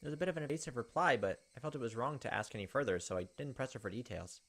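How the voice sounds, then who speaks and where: A young man hosts a gaming video at a quick clip. He reads story text aloud, then slips into casual commentary of his own. His pitch sits in a middle range. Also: lively intonation, fairly bright tone. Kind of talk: reading a visual novel aloud with casual asides.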